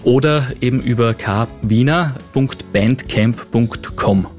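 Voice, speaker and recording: A man's voice: mid-range voice, slow, a little mellow, soft background music. A young man from Carinthia with an Austrian accent, chatting casually as a musician guest in a radio studio. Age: young adult. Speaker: singer-songwriter guest. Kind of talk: casual radio interview chat.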